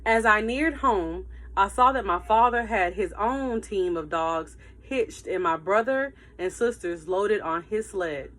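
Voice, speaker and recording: A woman teacher reading a story aloud to children in an animated, emphatic way, with asides to her listeners. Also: mid-range voice, a little slow, hardly any pauses.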